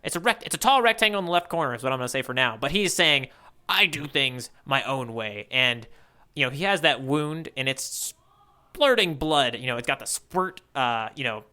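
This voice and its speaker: A man with a very bright, sharp-edged voice at an average pitch. He talks casually as a podcast co-host, with playful banter and an informal, conversational delivery. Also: animated, normal speed. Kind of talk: casual chat about manga panels.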